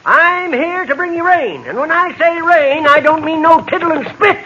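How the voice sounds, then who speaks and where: A man's voice: very high-pitched, animated, moderate pace, pushed and bright, highs cut off, a little hiss or hum, heavily acted. An actor playing a fat little boastful rainmaking con-man in an old radio western, with folksy rural American Western patter. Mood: loud pitchman. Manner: folksy salesman patter, drawling dialect. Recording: vintage radio drama recording with music.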